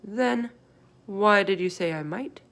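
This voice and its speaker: A woman's voice: medium pitch, takes it slowly, creaky, a bit bright. Lady playing a little orphan girl in a kids story, curious, straight-talking. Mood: insistent. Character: small orphan girl, frank, plucky and inquisitive.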